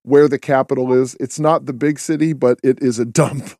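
A male podcast host with a dark, mellow voice talks at length and gives blunt opinions. His sound is dry and close. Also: mid-range voice, fairly even intonation, normal speed, no echo. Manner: opinionated, talkative. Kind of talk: casual podcast chat.

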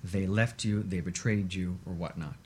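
A man speaking as an expert guest on a radio talk show, explaining in a measured, emphatic way and repeating points for stress. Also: low-pitched, fairly even intonation, a little hiss or hum.

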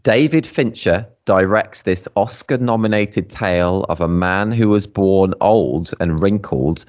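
A young man hosting a casual quiz chat, upbeat and quick to praise, laughing often. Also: sits low, a little mellow. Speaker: host.

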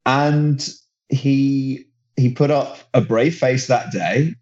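A man's voice: medium pitch, steady tone, takes it slowly, darkish tone, dull audio. A man working as a football reporter, chatting casually on a podcast, enthusiastic and rambling, often restarting his sentences. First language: not German; German is his second language.

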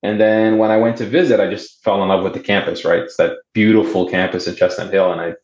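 Low-voiced man telling his story. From Boston area, United States. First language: Portuguese. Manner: relaxed and halting, with many fillers and restarts. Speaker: guest. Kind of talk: casual interview, storytelling. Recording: roomy, dull remote audio.

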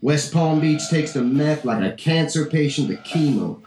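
An actor playing a bitter, nihilistic drug dealer turned prisoner, telling his story in a brooding, deliberate radio-play monologue. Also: on the high side, lively intonation, a little mellow, natural voice. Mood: hard, boastful. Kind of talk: dramatic monologue in a 1940s-style radio play. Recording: crackling old-radio fuzz, roomy echo.

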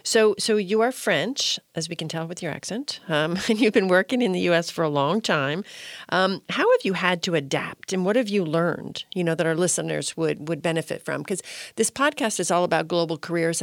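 A podcast host asks questions in an encouraging, question-led way. The voice has a gravelly edge, and the recording sounds dry and close. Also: medium pitch, no room sound. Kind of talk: interviewer.

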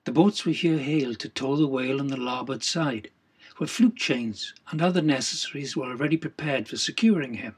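A man reading literary narration for an audiobook in a husky, gravelly voice. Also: medium pitch, a little fast.

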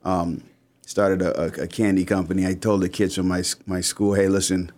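A man in his forties from the Bahamas, with a somewhat low voice, tells his entrepreneur story. He talks freely and repeats words as he gets going. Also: flat delivery, normal speed, a little mellow. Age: 40s. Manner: talkative, repeats words as he starts. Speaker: entrepreneur guest. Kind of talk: casual interview chat.

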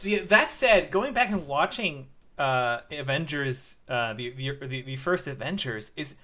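A man's voice: medium pitch, animated, measured pace, bright, forceful tone. A man co-hosting a podcast, chatting casually, hesitating often with restarts and fillers. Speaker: podcast co-host. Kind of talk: casual chat.

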